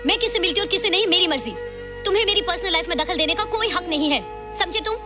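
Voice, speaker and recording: A headstrong young film heroine. She speaks fast in a very high, swooping voice, indignant, over music. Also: expressive. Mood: defiant anger. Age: young adult. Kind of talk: Bollywood film dialogue.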